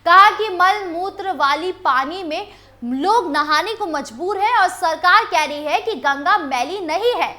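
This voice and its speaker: Female news presenter, scripted bulletin read, very high pitch, bright forceful tone. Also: expressive, a little slow. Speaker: news anchor.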